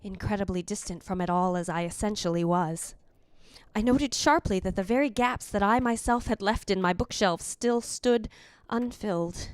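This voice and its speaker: A woman playing the wistful, fastidious ghost of a dead wife in a measured, formal radio drama monologue. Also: mid-range voice, clear, resonant tone, dry recording, lightly acted.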